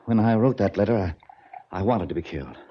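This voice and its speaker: A man's voice: fairly low pitch, animated, fast talker, a little hiss or hum, lightly acted. An actor playing a morbid, frightened older wealthy man with a hesitant, wary manner in 1940s radio drama. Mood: morbid, confessing. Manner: hesitant, stammering, suspicious. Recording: muffled 1940s radio recording.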